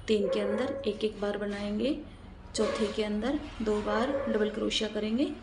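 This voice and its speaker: A woman's voice: medium pitch, steady tone, normal speed, noisy background. A woman narrating a step-by-step craft tutorial, explaining in a repetitive, instructional way and counting aloud.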